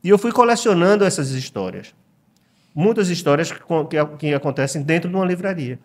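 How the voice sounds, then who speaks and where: A middle-aged man from Recife with a Pernambuco Brazilian accent, speaking as a guest writer in a relaxed interview and often stopping to repeat words as he thinks. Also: on the high side, a little fast. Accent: Pernambuco Brazilian Portuguese. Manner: reflective, frequent hesitations and repeated words. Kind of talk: conversational interview.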